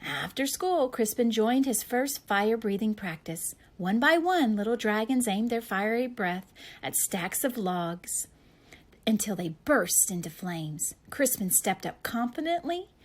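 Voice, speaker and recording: A woman reads a picture book aloud for young children. Her voice sits fairly high and swings widely in pitch, and she takes her time, placing dramatic emphasis on key phrases. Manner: deliberate, animated, dramatic emphasis.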